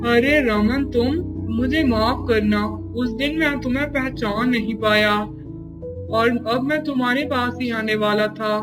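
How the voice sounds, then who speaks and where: An actor playing a sly, greedy male merchant in a Hindi moral-story cartoon, smooth and ingratiating in manner. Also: medium pitch, measured pace, lightly acted. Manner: ingratiating in company, scheming when alone. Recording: music behind the voice.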